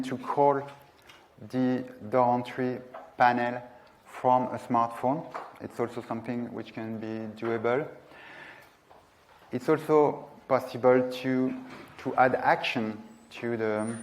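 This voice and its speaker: A man's voice: mid-range voice, gravelly, slight background noise. A man in his thirties giving a technical presentation in a lecture room, speaking hesitantly and searching for words. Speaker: conference presenter.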